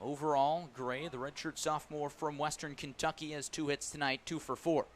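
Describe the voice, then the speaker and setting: High-pitched male baseball play-by-play announcer. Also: level tone, normal speed, a little hiss or hum. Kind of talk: live baseball radio commentary. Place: outdoor ballpark broadcast.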